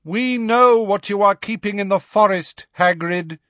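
A man voicing a proud, stern male centaur in a storybook, speaking in a formal, measured and calmly menacing way. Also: mid-range voice, no echo, dull audio, voice pushed up. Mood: warning.

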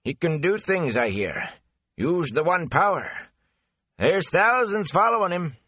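A man voicing a gossipy, rambling rustic gatekeeper with folksy country speech, high and lively. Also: a little slow, breathy edge, no room sound, heavily acted. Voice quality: folksy dialect, rambling and eager.

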